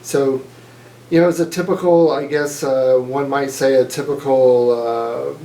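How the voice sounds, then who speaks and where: Casting: man in his fifties from Mississippi, mellow dark voice, measured oral-history storyteller, echoey room with faint noise. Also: medium pitch, steady tone. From Mississippi, USA. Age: 50s. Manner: measured, thoughtful, many fillers and restarts. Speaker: interviewee.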